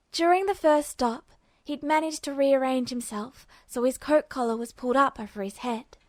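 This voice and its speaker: Higher-pitched woman narrating a story. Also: dry recording. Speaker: amateur audiobook narrator of fan fiction.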